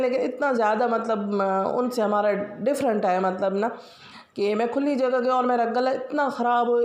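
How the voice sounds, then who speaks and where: A woman's voice: on the high side, a little mellow, roomy sound. Chatty Pakistani woman with a sore throat. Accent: Pakistani Punjabi. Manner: chatty, rambling, many fillers. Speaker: vlogger. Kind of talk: casual daily-routine voice-over.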